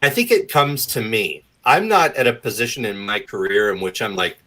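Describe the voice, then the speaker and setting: A man's voice: fairly low pitch, lively intonation, moderate pace, sharp tone. A man talking as an actor guest in a casual podcast interview, with an informal, enthusiastic manner.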